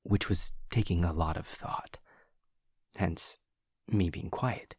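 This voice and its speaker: A man playing an awkward, earnest, literal-minded demon classmate in an intimate audio roleplay, speaking formally and precisely with hesitant pauses. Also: low-pitched, darkish tone, husky, no echo, clean studio sound, full character voice. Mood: analytical, matter-of-fact.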